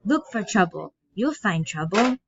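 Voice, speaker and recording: A woman's voice: mid-range voice, very animated, a little slow, creaky, noisy background. A woman voicing a stern, bitter, domineering old grandmother from a stage play. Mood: cold, commanding. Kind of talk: reading a stage play aloud. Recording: dull, muffled lo-fi audio.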